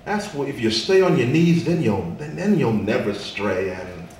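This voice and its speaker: A man performing spoken word poetry as a weary, sassy, folksy Statue of Liberty, preaching in dramatic rhymes with drawn-out words. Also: medium pitch, very animated, a little mellow, voice pushed down. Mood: sassy, preachy. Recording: echoey room, distant mic, faint noise.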